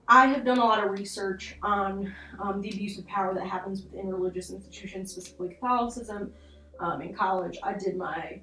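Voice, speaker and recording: A young woman talks through an analysis in a loose, informal, sweary way, undercutting herself with asides. Her voice sits at a middling pitch and is caught in a roomy, echoing space, a little away from the mic. Music plays underneath. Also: fairly bright tone, far from the mic. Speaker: video essay host. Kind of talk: casual horror analysis commentary.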